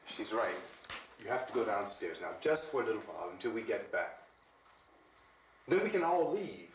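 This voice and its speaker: An actor playing a commanding, practical survivor in a 1960s horror film, giving firm instructions. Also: male voice, lowish voice, very animated, normal speed, a little hiss or hum. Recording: dull echoey old film soundtrack.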